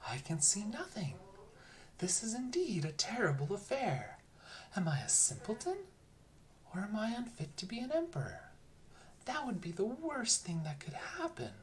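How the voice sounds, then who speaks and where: Vain, anxious storybook emperor acted by a narrator, pitched high and swooping, grand and theatrical. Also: wildly swooping pitch, normal speed, slight background noise. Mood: anxious, dismayed.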